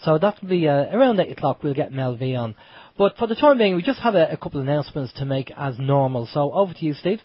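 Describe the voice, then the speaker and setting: A man's voice: a little high, lively intonation, fast talker, breathy edge, dry recording. An Irish man with a cold, sounding blocked up and nasal, hosting a casual internet radio chat. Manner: chatty, loose, with restarts. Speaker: radio show host. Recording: dull lo-fi home radio stream.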